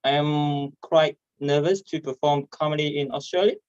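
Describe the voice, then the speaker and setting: A man from Vietnam with a Vietnamese accent and a stutter, performing stand-up comedy haltingly over a video call. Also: fairly high pitch, fairly even intonation, darkish tone, clean tone, dry recording. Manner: hesitant, halting delivery with repeated words. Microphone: video call microphone. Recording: dull, muffled online call audio.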